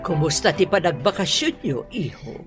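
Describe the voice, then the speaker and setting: A woman's voice: lowish voice, sing-song, moderate pace, soft background music. A woman with an Ilocano accent playing a haughty, domineering rich mother in a radio drama, imperious and scolding. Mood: probing.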